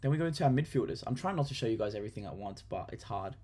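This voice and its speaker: A man's voice: on the low side, animated, racing, dark tone. A young Australian man chatting casually to camera about his fantasy football team, enthusiastic, with lots of ums and restarts. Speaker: football vlogger.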